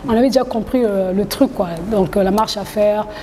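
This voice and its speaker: A woman from Congo-Brazzaville, chatting as an interviewed singer with hesitant, halting phrasing. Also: mid-range voice, fairly even intonation, mellow. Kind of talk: casual interview chat. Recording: reverberant hall, background noise.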